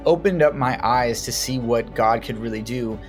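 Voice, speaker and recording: A man's voice: medium pitch, moderate pace, soft background music. A young American man, a singer-songwriter guest, sharing his story in a conversational way with frequent restarts. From United States. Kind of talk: casual personal account.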